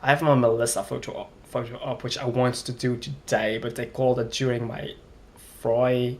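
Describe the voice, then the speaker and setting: A man's voice: medium pitch, flat delivery, normal speed. A young man from Flanders, rambling and hesitant as he complains in a casual vlog. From Belgium, Flanders. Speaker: vlogger.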